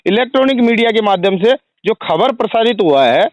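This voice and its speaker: Male journalist, very high voice, pressing politely but persistently in a dry phone recording. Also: expressive, a little fast, no echo, highs cut off. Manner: insistent, repetitive, polite in address. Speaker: journalist, press union state general secretary. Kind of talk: argumentative phone conversation.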